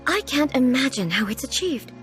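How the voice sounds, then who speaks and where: An actress playing a solemn, ageless ruler in a video game, speaking in a formal, measured, pondering way. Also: female voice, sits high, sing-song. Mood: puzzled. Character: solemn, regal, ageless female ruler, earnest and contemplative. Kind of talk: video game character acting. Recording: soft music behind.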